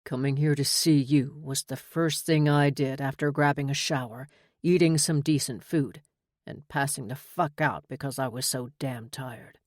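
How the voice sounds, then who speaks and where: A male actor voices a romance hero, a smug and seductive military man with a brooding streak. He speaks in an intimate, teasing way at a middling pitch. The sound is dry, with no room around it. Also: fairly even intonation, moderate pace, dry recording, natural voice. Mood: earnest, brooding. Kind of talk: audiobook romance dialogue.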